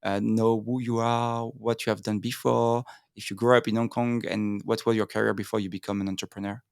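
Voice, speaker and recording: A man with a French accent hosts an interview show, rattling through questions quickly with a gravelly edge to his lowish voice. He talks with many fillers and restarts. The sound is dry and close. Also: little pitch movement, dry recording. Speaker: podcast host. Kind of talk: interview podcast.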